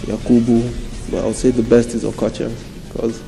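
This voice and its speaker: A man's voice: medium pitch, level tone, normal speed, creaky, warm tone, faint music behind. A young man answering interview questions indoors in an easygoing, modest way. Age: young adult. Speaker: interviewee, footballer's son. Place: indoor room.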